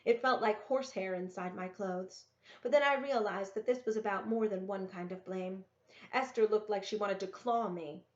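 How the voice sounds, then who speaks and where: A woman reading a story aloud in an expressive storybook narration style. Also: mid-range voice, normal speed. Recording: roomy, echoey, dull lo-fi sound.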